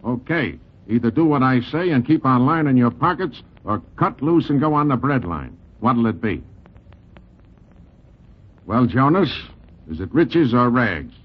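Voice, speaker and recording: An actor playing a hard-nosed, domineering boxing manager, curt and slangy, in a 1940s gangster radio drama. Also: male voice, mid-range voice, animated, a little slow, breathy edge. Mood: hard, pressuring. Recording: muffled old radio recording.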